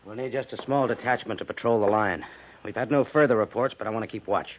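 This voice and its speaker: Man playing doubting army major, gruff, brisk, authoritative, thin narrow-band fifties radio. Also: lowish voice, noisy background, natural voice. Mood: skeptical. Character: gruff, skeptical cavalry major in command. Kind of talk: 1950s radio drama acting. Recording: tinny narrow-band 1950s radio recording.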